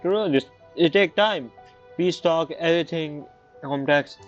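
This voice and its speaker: A man giving casual, halting let's-play commentary in non-native English phrasing. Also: fairly high pitch. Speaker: game streamer. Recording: muffled, game music behind.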